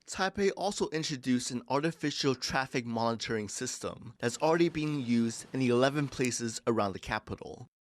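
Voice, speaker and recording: A man delivering a scripted news report in a lively, expressive way. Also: mid-range voice, dry recording.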